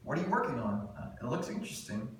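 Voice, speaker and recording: An actor reading a smug, teasing young grad student at a screenplay table read. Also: male voice, mid-range voice, sing-song, quick pace, far from the mic, close to an everyday voice. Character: cocky young male grad student James. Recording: echoey room, mic from afar, faint noise.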